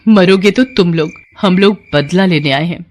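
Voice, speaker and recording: A woman's voice: on the low side, normal speed, a little mellow, lightly acted. Woman playing an earnest wronged young sister. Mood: vengeful. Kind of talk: animated moral story character acting.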